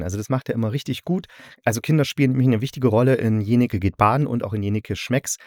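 A man's voice: fairly low pitch, darkish tone, no room sound, clean studio sound. A man hosting a podcast, giving a casual, chatty and enthusiastic review in colloquial language. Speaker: podcast host and radio play reviewer.

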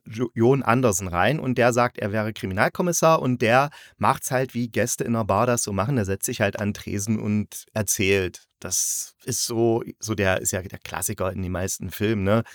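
Male review-show host, lowish register, conversational and colloquial, tight dry sound. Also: moderate pace, no room sound. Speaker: podcast host interviewing a guest reviewer. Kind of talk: casual review chat about an audio drama.

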